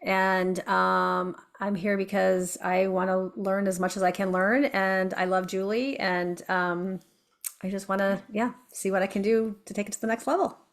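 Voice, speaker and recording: A woman executive coach giving a brief introduction on a video call, slightly halting. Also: medium pitch, steady tone.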